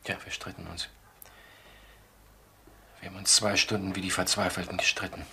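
An actor playing a distraught, lovesick middle-aged murder suspect in a crime radio drama, halting and defensive. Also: male voice, sits low, little pitch movement, gravelly, bright, forceful tone, exaggerated voice. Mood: weary, pained. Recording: noisy old radio drama recording.

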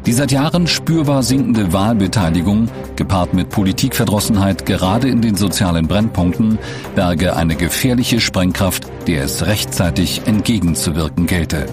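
An actor playing a grave, measured documentary narrator reading a script. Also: male voice, deep voice, animated, music in the background. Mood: grave, factual. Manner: serious, measured. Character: sober, grave male documentary-style narrator.

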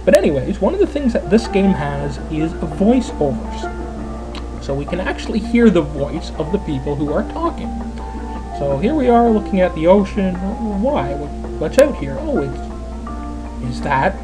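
A man's voice: sits high, very animated, a little slow, music in the background, poor audio. Chatty Canadian man in his twenties. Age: 20s. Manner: chatty, rambling, lots of fillers. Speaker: let's player. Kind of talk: casual gameplay commentary.

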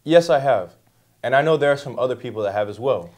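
A man's voice: mid-range voice, lively intonation, fast talker, darkish tone. A teenage boy reading scripted lines as the anchor of a student news show in a school studio. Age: teens.

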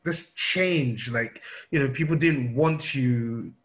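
A man's voice: mid-range voice, slow pace, a bit bright. A man in his fifties with a London accent, interviewing over a video call with many fillers and restarts. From United Kingdom. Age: 50s. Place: indoor room at home. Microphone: video call webcam.

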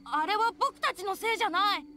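A woman's voice: extremely high pitch, animated, a little fast, sharp tone, soft background music, heavily acted. A woman voicing an earnest, eager young youkai boy with a boyish voice in an anime-style video game. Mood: defensive, glum.

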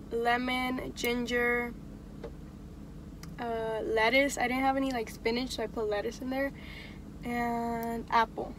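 A woman's voice: on the high side, slight background noise, rough recording. Chatty young woman with a stuffy nose. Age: young adult. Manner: chatty, lots of fillers and "like". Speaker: vlogger. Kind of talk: casual vlog to camera. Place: inside a parked car. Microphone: phone camera on dashboard.

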